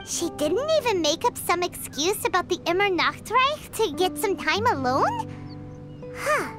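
A woman voicing a tiny, childlike, cheeky fairy companion in an anime video game, squeaky and exclamatory. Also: sing-song, unhurried, over music, heavily acted. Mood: indignant, puzzled. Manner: speaks of self in third person, exclamatory.

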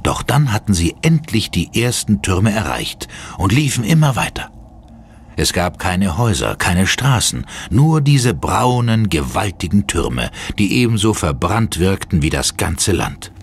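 A man narrates a German horror audio drama with measured suspense. The voice is low and varied in pitch, with faint ambient noise behind it. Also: sing-song.

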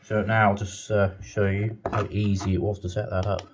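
Deep-voiced man giving a casual tutorial. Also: flat delivery, normal speed, noisy background. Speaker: installer demonstrating intercom setup.

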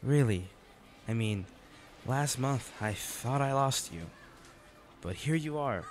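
A man playing a devoted, teasing and self-blaming boyfriend in a romantic audio roleplay, speaking haltingly and tenderly. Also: mid-range voice, animated, a little hiss or hum. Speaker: voice actor.

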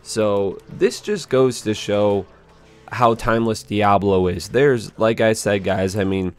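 A young man with a fairly low voice that sounds a bit hoarse and worn. He talks informally and earnestly to his gaming channel viewers, often circling back to repeat himself. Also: takes it slowly, soft background music. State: hoarse, voice strained after a concert. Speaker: YouTube gaming channel host. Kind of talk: casual commentary to viewers. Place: small indoor room at home.

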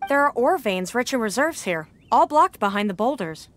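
A woman playing a dutiful, concerned young female knight on patrol in a video game, speaking briskly. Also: a little high, big pitch swings, a little hiss or hum. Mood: matter-of-fact.

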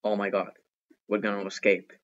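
A man's voice: on the low side, monotone, normal speed. Chatty male gamer on a dull mic. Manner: chatty, talking to himself, repeats phrases. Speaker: gaming video host. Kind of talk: excitable gameplay commentary. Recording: dull, lo-fi microphone.

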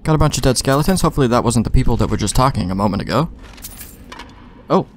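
A man's voice: medium pitch, expressive, quick pace, a little hiss or hum. A man doing casual gameplay commentary, thinking aloud in informal, mildly profane language. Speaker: let's play host.

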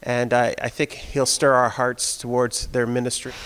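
A man's voice: mid-range voice, level tone, normal speed, a bit bright, smooth. A man, the church pastor, giving a friendly, informal welcome and introducing a guest. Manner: friendly, informal, hesitant fillers. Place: church sanctuary. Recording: long hall reverb with faint music.

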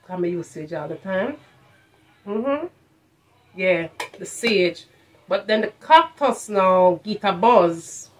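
A woman's voice: mid-range voice, a little hiss or hum. A middle-aged woman with a Jamaican accent reviewing products in a casual vlog, slipping into patois and repeating phrases for emphasis. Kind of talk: casual chat to camera, shopping haul review. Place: furnished room at home, indoors.

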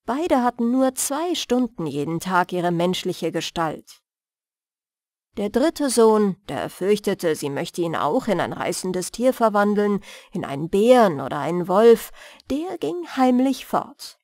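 A woman reading a fairy tale as a bedtime audiobook, in a measured, soothing manner. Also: mid-range voice, very animated, a little mellow, dry recording, clean studio sound. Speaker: storyteller and audiobook reader.

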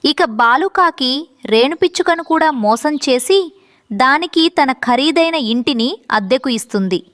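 A woman's voice: sits high, expressive, unhurried, a bit bright, no room sound. A woman reading scripted narration for a children's story as the storyteller.

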